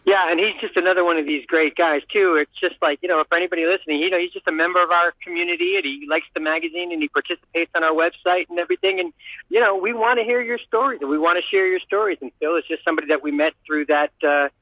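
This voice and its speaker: Male co-host phoning in, quick and eager, thin phone-line sound. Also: a little high, no room sound. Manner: enthusiastic, rapid, full of fillers. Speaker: magazine editor and co-host. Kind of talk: casual radio chat.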